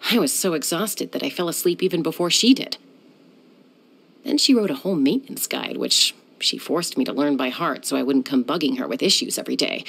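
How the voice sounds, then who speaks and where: An actress voicing a cool, confident young woman who is a sky-hunter and peacemaker in a video game, speaking in a calm, composed, measured way. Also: fairly low pitch, vocal fry, breathy edge, full character voice. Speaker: game character.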